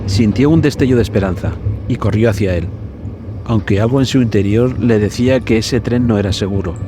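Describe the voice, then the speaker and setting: A man narrating a scripted suspense horror story for a podcast in a dramatic, measured way. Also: lowish voice, background noise. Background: background music.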